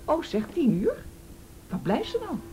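A woman's voice: high voice, wildly swooping pitch, a little slow, dark tone, soft background music, full character voice. A woman acting a deferential, fussy neighbour in a radio play, gushing and seeking agreement. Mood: anxious, deferential. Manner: fussy, gushing, full of tag questions. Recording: faint background hiss.

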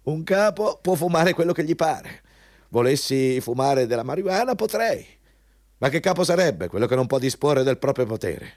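An actor playing a domineering, hypocritical company boss in a theatrical Italian radio drama, pompous and mocking in manner. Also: male voice, mid-range voice, very animated, normal speed, a little mellow. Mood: pompous, bossy. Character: domineering, hypocritical male company boss interviewing a job applicant.

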